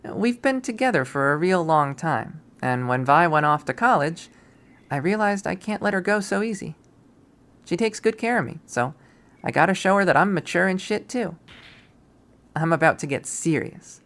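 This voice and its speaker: A man's voice: a little high, animated, normal speed, a bit bright, pitched above the performer's own voice. A man voicing a brash, cocky young woman in a visual novel. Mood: cocky, possessive.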